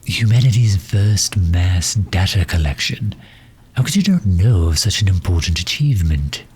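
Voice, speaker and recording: A man playing a pompous, pedantic but affable gentleman supervillain in an ASMR roleplay, with a husky, breathy voice and a wordy, condescending manner full of hesitant pauses. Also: deep voice, expressive, full character voice. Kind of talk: ASMR roleplay audio drama.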